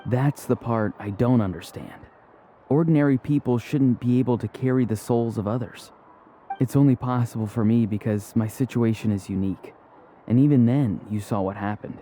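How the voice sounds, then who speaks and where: Man playing a mellow, grave young warrior. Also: mid-range voice, a little hiss or hum, lightly acted. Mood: grave, reflective. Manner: measured, serious. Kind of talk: English video game character acting.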